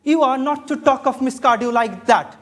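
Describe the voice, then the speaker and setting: A man's voice: very high-pitched, moderate pace, noisy background. Guy acting as fed up stern victorian gent, indignant and formal, stage play. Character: stern, exasperated Victorian gentleman. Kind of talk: amateur stage comedy acting.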